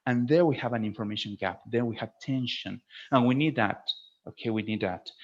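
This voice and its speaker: Dull-sounding webinar audio, man teaching teachers. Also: mid-range voice. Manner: didactic, frequently checks in with "okay?", emphasises key words. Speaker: webinar presenter, language teacher trainer. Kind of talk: webinar talk to fellow teachers.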